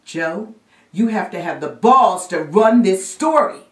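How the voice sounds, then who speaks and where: A woman's voice: medium pitch, animated, a little slow, pitched above the performer's own voice, natural voice. A woman playing an indignant newspaper reporter pleading emphatically with an editor in a theatrical monologue. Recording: roomy echo, distant microphone.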